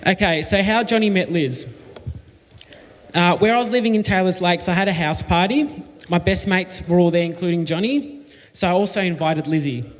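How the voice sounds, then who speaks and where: A man's voice: sits high, normal speed. A man giving a jokey, reminiscing wedding toast to his friends. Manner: reminiscing, jokey, read from notes. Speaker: wedding speaker, friend of the couple. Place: wedding reception hall. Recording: long hall reverb.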